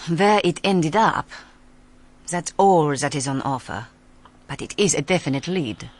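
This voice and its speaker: A cool, calculating woman in a radio play. Her low voice swings expressively as she makes deliberate, insistent statements with pointed pauses. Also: lively intonation. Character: cool, scheming woman dealing with criminals. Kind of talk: radio drama acting.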